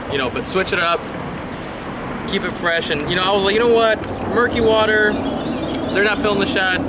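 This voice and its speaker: A young man talking casually to camera while fishing by a river, explaining his baits with plenty of fillers, on a lapel mic. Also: fairly high pitch. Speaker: fishing vlogger. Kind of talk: casual outdoor fishing vlog. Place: outdoors on a riverbank. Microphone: clip-on lapel mic. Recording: outdoor noise behind.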